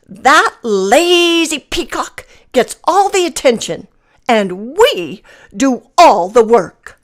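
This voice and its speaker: A woman voicing a group of vain, jealous female farm hens for a storybook, with high squawking chatter and clucking noises. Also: fairly bright tone, full character voice. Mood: indignant.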